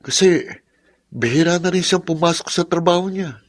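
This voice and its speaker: A man plays a tired young Filipino elder brother in a radio drama. His somewhat high voice sounds dry and close, with quiet concern. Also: normal speed, dry recording, natural voice.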